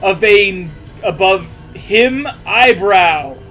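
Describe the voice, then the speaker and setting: A man with a notably high voice reads aloud in a mocking, sarcastic way. He drops into casual banter and pronounces the punctuation as he goes. Music plays faintly behind him. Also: lively intonation, drawn-out words, pushed and bright, poor audio. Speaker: host reading fan fiction aloud.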